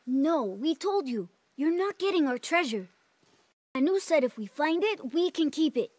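Game kid role: defiant little treasure hunter, high voice, dry sound voiced by a woman. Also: very animated, normal speed, a little mellow, no room sound. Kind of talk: video game cutscene acting.

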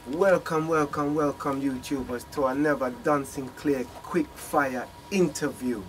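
A man hosting a casual quick-fire interview in a small studio, prompting his guest with short replies. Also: on the high side, fairly even intonation, slight background noise. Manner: brief encouraging backchannels. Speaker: interview host. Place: small studio room with screens.

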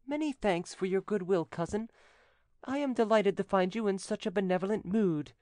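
A man's voice: sits high, normal speed, darkish tone, no echo, voice pushed up, close to an everyday voice. A man voicing a proud, self-satisfied, scolding widow in a storybook reading, with haughty, emphatic delivery. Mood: coolly gracious.

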